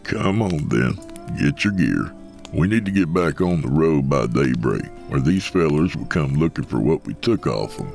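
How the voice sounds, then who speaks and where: An ancient coyote trickster posing as a rangy cowpoke. The voice is rock-bottom low and full of gravel, swinging widely in a folksy American drawl. He blusters and mocks in storybook fashion. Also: expressive, normal speed, a little mellow, faint music behind, exaggerated voice. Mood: amused, bossy.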